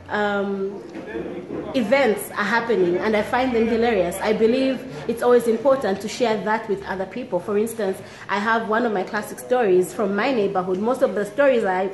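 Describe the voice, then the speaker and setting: A woman from Zambia with a Zambian accent, a blogger and activist telling a personal story in an animated, amused way. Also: on the high side. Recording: echoey room.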